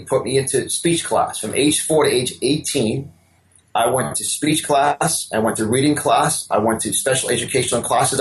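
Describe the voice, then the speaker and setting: A man's voice: mid-range voice, a little hiss or hum, poor audio. A man in his fifties with a New York accent, a business coach giving a guest interview in an emphatic, motivational way, repeating words and stammering a little. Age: 50s.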